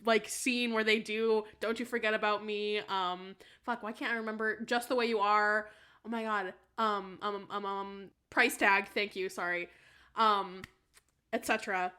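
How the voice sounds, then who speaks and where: A woman in casual comic chat, talking in an animated, scattered, exclamatory way. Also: medium pitch, measured pace, clean tone. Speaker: podcast panelist.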